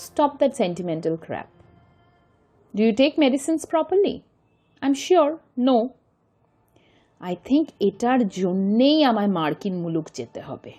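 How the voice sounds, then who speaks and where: A weary, caring mother played by a woman confides in an old friend in a bilingual audio drama. Her Indian Bengali-accented voice is mellow and a little husky, rising and falling expressively as she slips between English and Bengali. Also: on the high side, big pitch swings, very fast, pauses often, faint music behind. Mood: concerned, scolding.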